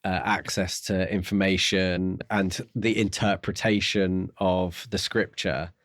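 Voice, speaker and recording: A man's voice: sits low, flat delivery, measured pace, fairly bright tone, dry recording, clean studio sound. A man co-hosting a podcast, casually talking through a novel in an explanatory, tentative way. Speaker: book podcast co-host.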